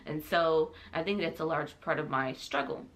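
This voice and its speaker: A young woman talks casually, as if vlogging to a camera. Her voice sits a little low for a woman. She rambles along in a chatty, self-deprecating, giggly way. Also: fairly even intonation, normal speed. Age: young adult.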